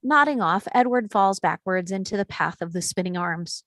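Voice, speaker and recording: A woman reading the action lines of a screenplay at a table read, in a steady, descriptive way. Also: mid-range voice, moderate pace, no echo, clean studio sound. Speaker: reader of stage directions.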